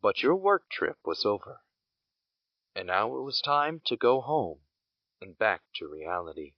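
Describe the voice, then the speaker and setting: A man's voice: on the low side, sing-song, measured pace, no room sound. A man narrating an audio drama in a reflective, philosophical way. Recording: muffled lo-fi recording with loud guitar music behind.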